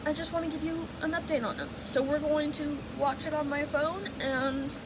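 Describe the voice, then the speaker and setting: A woman's voice: high-pitched, fairly even intonation, normal speed. A young woman vlogging casually at home, chatty and rambling, with a stuffed-up nose. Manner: chatty, rambling, self-correcting. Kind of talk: casual vlog chat. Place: bedroom at home with AC and fan running. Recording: loud fan and AC noise behind.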